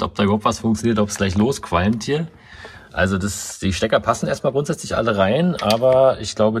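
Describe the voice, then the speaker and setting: A man's voice: on the low side, a little hiss or hum. A man casually explaining car electronics while working in a workshop, filming from his own point of view. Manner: casual, explanatory, with frequent fillers. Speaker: car mechanic and video host. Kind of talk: hands-on workshop walkthrough. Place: indoor car workshop beside a stripped car.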